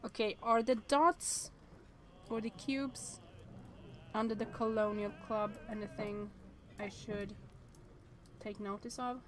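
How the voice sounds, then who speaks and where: A woman's voice: medium pitch, fairly even intonation, takes it slowly, pauses often, a bit bright. A woman in her thirties streaming a visual novel, chatting and thinking aloud with hesitant restarts. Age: 30s.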